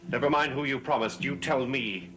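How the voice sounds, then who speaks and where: An actor playing the commanding, tormented master of a cursed manor in a 1960s gothic soap opera, stern and theatrical. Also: male voice, medium pitch, moderate pace, close to an everyday voice. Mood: stern, threatening.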